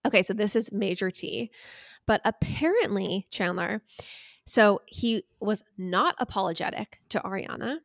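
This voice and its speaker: Woman, podcast host. Casual, emphatic gossip recap, average pitch, very dry sound. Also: lively intonation, a little slow, vocal fry, no echo.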